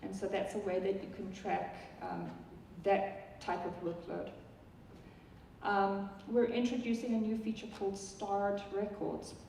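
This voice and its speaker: A woman's voice: mid-range voice, a little slow, a little mellow, slight background noise. A woman from South Africa with a South African accent, giving a chatty live product talk to an audience, with many ums and restarts. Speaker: software product presenter. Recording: echoey hall from far off.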